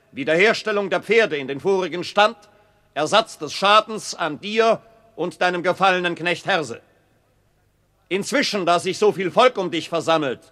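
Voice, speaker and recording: Bright-voiced commanding prince in a hall. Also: male voice, high voice. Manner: formal, authoritative. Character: commanding prince of Saxony, formal and assured. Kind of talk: German radio play acting. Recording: reverberant hall.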